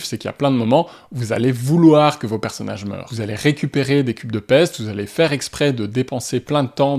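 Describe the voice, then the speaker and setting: A man's voice: medium pitch, creaky, darkish tone. A man, hosting a board game channel and giving a casual, enthusiastic review to camera, with frequent hesitation fillers.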